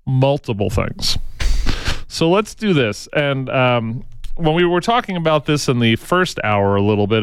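A male talk radio host chats loosely through his show, circling back with fillers and false starts. His voice is smooth and resonant, and it sits close and dry on a studio mic. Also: medium pitch, no room sound. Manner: chatty, rambling, many fillers and restarts. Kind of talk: casual talk radio chat. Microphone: studio microphone.

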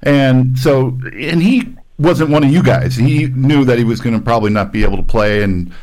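Halting American man hosting casual sports talk. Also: mid-range voice, a little mellow, poor audio. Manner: halting, rambling, often says "you know". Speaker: talk show host, former college football player.

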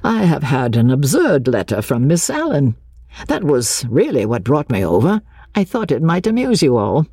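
A woman voicing a courteous, sympathetic English gentleman in an audiobook, gentle, formal and measured. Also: low voice, wildly swooping pitch, breathy edge, no room sound, clean studio sound, full character voice. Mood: genial. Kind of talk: audiobook character dialogue.

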